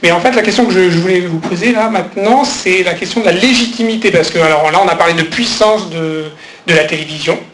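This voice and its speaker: A high-voiced man moderates a conference panel and asks his questions haltingly, with plenty of fillers. The hall around him is echoey. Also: a bit bright, a little hiss or hum. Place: conference hall at a trade fair.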